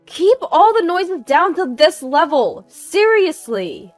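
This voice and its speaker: A woman voicing an aggressive, nagging housewife neighbor in her forties, loud, demanding and scolding, in a narrated story. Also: very high voice, big pitch swings, slow, no room sound, soft background music, pitched above the performer's own voice, full character voice. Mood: furious.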